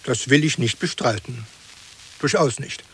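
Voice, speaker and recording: An actor playing an earnest, pedantic gentleman who talks politely and qualifies himself, in an absurd comic radio play. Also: medium pitch, unhurried, slight background noise, natural voice. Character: earnest, pedantic gentleman praising country life.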